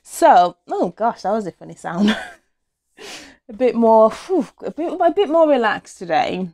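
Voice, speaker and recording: A woman's voice: on the high side, sing-song, a little slow, dark tone. A young woman from Nigeria in a small indoor room, telling her own story to camera in a casual, open way, with many fillers and self-corrections, recorded on a lapel mic. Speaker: vlogger. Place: small indoor room against a plain wall. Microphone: small clip-on lapel mic.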